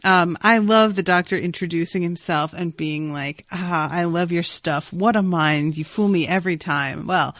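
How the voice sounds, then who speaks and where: A woman's voice: on the low side, moderate pace, few pauses, fairly bright tone, no echo, clean studio sound. A woman co-hosting a podcast in casual recap chat, exclamatory and freely swearing. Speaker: podcast co-host.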